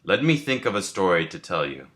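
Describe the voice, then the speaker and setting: A man voicing an earnest, helpful, slightly hapless male toad from a storybook, speaking deliberately. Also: deep voice, big pitch swings, a bit bright.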